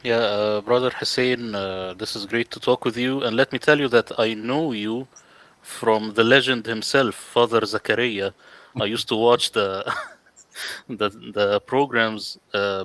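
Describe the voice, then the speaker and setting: A man's voice: fairly low pitch, fairly bright tone, no echo, rough recording. A man in a casual online panel discussion, greeting another guest in a friendly, hesitant way with many fillers. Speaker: panel participant.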